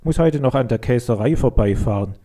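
A man's voice: medium pitch, animated, fairly quick, mellow, lightly acted. A man voicing a plain-spoken, hearty farmhand who drives a milk wagon in a children's story. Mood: matter-of-fact.

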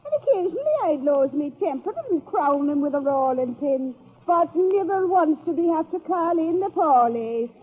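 Casting: blithe, combative Irish widow, very high voice, broad radio comedy, tinny old broadcast sound. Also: female voice, expressive, moderate pace, hardly any pauses. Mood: blithe, boastful. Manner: broad, blithe comic delivery. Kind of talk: 1930s radio comedy sketch. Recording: tinny, band-limited 1930s radio broadcast.

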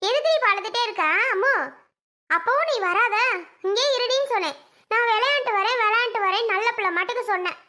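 A woman's voice: very high-pitched, fairly quick, pushed and bright. A woman voicing an overprotective, nagging mother in a family drama, accusing and repeating herself. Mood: scolding.

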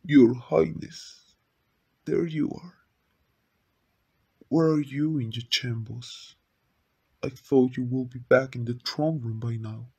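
A man playing a stern, suspicious Lord Master of a royal court in an audio roleplay, formal and measured, with a gravelly, husky voice. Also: medium pitch, a little mellow, heavily acted. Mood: stern, probing. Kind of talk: slow ASMR audio roleplay acting.

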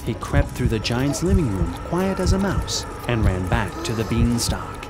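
A man narrating a scripted children's bedtime story in a dramatic, expressive way. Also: mid-range voice, moderate pace. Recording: music under the narration. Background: music.

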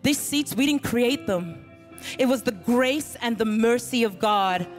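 A woman's voice: fairly high pitch, unhurried. A middle-aged woman pastor preaching a sermon on a church stage, holding a handheld mic, with earnest emphasis. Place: large church stage with band behind. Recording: reverberant hall with music.

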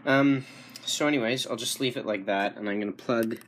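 A young man giving a casual technical demonstration, halting and correcting himself, recorded indoors on a handheld camera. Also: mid-range voice, a bit bright, slight background noise. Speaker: hobbyist radio restorer. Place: small furnished room indoors. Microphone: handheld camera, behind it.

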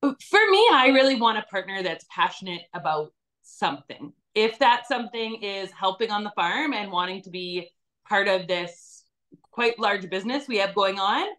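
An easygoing young woman from British Columbia, a dairy farmer guest who laughs often as she talks. Her voice sits at an ordinary pitch and comes over a video call from a room at home. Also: fairly bright tone. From British Columbia, Canada. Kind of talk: casual video-call interview.

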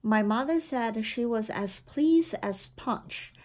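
A woman reading a children's picture book aloud in a deliberate, expressive storytelling manner. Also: fairly high pitch. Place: indoor room with framed certificates on the wall.

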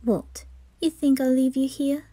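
Woman voicing a breathy, haughty young lord. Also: high voice, fairly even intonation, a little slow, dark tone, no room sound. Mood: coolly hospitable. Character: haughty, bratty teenage aristocrat boy, secretly smitten. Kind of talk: anime roleplay ASMR.

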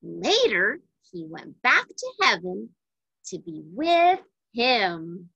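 A woman reads a Bible story aloud for children in a bright, slightly husky voice. Her phrasing is deliberate and emphatic, and the sound is close and dry. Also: mid-range voice, sing-song, dry recording, lo-fi sound.

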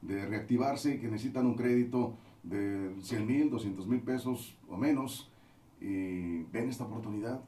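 A Mexican man hosts a radio chat in a relaxed, conversational way. His voice is dark and mellow, and it sounds set back from the mic, with the booth's echo around it. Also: fairly low pitch, moderate pace, distant mic. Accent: Mexican Spanish. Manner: conversational, prompting questions. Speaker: radio host. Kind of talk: radio interview.

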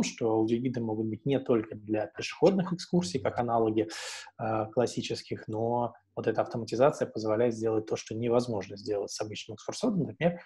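A man's voice: medium pitch, dark tone, breathy edge. A man in his thirties giving an explanatory online presentation as a webinar presenter, speaking through an earphone headset on a video call. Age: 30s. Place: small indoor room, on a video call. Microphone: wired earphone headset on a video call.

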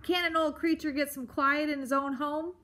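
A woman's voice: high-pitched, fairly even intonation, moderate pace, bright, forceful tone, pitched above the performer's own voice. A woman voicing a grumpy old male porcupine who bellows and hurls insults in a storybook reading. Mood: cranky, bellowing. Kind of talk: storybook read-aloud.

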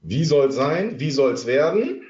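A man's voice: on the high side, very animated, a little mellow. Man with a cold giving a webinar. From Germany. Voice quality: halting, many filler sounds. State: has a cold. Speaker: trading coach. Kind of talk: webinar presentation. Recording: roomy, muffled webinar audio.

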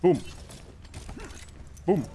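A young man streaming a video game, calling to his team fast and excitably and swearing freely. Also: background noise. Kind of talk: live gameplay commentary with team callouts.

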